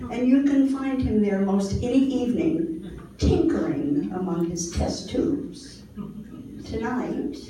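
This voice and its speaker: Woman, radio show announcer, scripted narration, unhurried, mellow tone, distant. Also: medium pitch. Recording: very echoey, distant, faintly noisy room.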